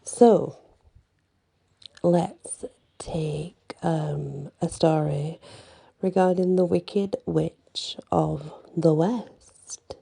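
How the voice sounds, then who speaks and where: A woman tells a bedtime story in a soft ASMR manner. Her voice is fairly low and very mellow, with a husky, breathy grain. She takes her time and draws out words.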